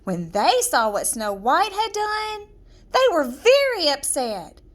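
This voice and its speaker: A woman narrates a children's fairy tale in a high voice that moves through lively swings of pitch. She takes her time, phrasing each part deliberately and with storybook drama. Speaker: storyteller reading aloud.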